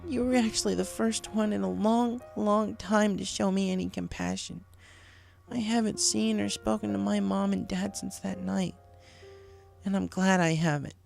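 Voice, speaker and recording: Man voicing a gravelly, hurt young pony girl. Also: high-pitched, fairly even intonation, moderate pace, darkish tone, soft background music, voice pushed up. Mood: bitter. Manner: bitter, emotional confiding. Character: hurt, defiant young female cartoon pegasus pony. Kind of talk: acted storybook dialogue.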